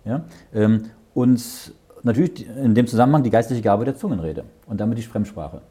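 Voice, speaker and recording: A man's voice: mid-range voice, fairly quick, warm tone, breathy edge. A man leading a Bible study. He reads verses aloud, explains them in plain words and often checks with "ja?". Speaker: Bible teacher.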